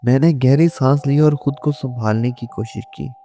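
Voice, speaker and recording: Gravelly, dark-toned male horror narrator. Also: medium pitch, a little hiss or hum. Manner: slow, suspenseful, dramatic storytelling. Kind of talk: scripted scary story narration in first person. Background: faint music.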